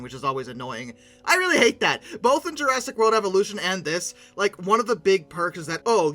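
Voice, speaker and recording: Sarcastic video reviewer, lively swooping pitch and a very bright tone, informal and theatrical, faint music underneath. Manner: theatrical, swings into mock-outraged rants; sarcastic asides. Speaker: video game reviewer and host. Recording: music bed behind the voice.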